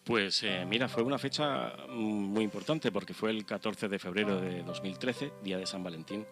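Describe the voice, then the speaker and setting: Halting low-voiced man over music. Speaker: radio show co-presenter. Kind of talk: casual factual commentary. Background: background music.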